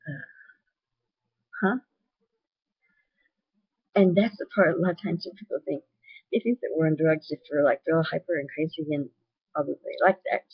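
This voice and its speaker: A woman with a naturally loud voice talking candidly and earnestly to her webcam in a personal video. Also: low-pitched, moderate pace, long pauses, slightly rough, no echo, lo-fi sound. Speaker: vlogger.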